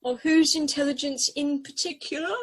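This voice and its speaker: A woman's voice: high-pitched, fairly even intonation, normal speed, natural voice. A woman voicing a haughty, tactless talking house cat in a radio play, formal and drily cutting in manner. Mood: coolly disdainful. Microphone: video call. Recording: home recording over video call.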